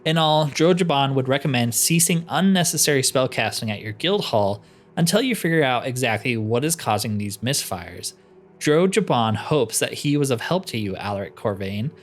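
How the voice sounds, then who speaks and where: A man's voice: medium pitch, lively intonation, moderate pace, lightly acted. A man reading the part of a courteous, scholarly cat-man spell trainer, speaking formally in game dialogue. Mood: thoughtful, scholarly. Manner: formal, speaks of himself in third person.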